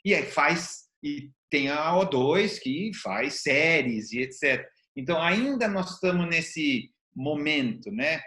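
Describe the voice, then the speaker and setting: A man's voice: fairly high pitch, lively intonation, takes it slowly, few pauses, pushed and bright. An older-sounding Brazilian man with a slightly raised voice talks things through in conversation. He explains step by step and repeats phrases for emphasis, in a roomy, echoing recording. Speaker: interview show host. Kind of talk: conversational discussion.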